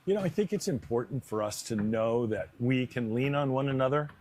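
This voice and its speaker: A man's voice: medium pitch, lively intonation, brisk, a little mellow, a little hiss or hum. American man giving conversational interview answers. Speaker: stadium announcer being interviewed.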